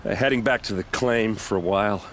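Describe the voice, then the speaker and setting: Man as a low-voiced, wry warrior captain. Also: brisk, slight background noise, natural voice. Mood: grim, dry. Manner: casual, blunt, wry. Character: gruff, wry warrior captain, blunt and self-deprecating. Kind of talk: dramatic video game cutscene acting.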